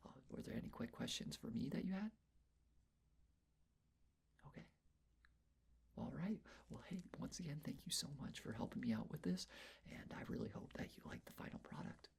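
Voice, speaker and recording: A man doing an ASMR personal-attention roleplay, whispering breathily as he gently gives reassuring, step-by-step instructions. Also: medium pitch, creaky, husky.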